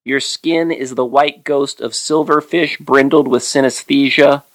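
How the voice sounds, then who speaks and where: A man hosting a podcast, chatting easily with chuckles and reading a poem of his own slowly. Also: medium pitch, steady tone. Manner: easygoing, chuckling, reads poem slowly and deliberately. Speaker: podcast host. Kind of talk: casual podcast chat and poetry reading.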